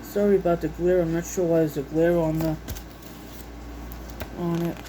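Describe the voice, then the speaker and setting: A woman's voice: fairly low pitch, flat delivery, moderate pace, dark tone, slight background noise, low-quality recording. A woman chatting casually and unscripted, reading things out and musing to herself in a homey, folksy way. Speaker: vlogger showing a recipe book. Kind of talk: casual unscripted chat while browsing and reading out recipes. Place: indoors at home.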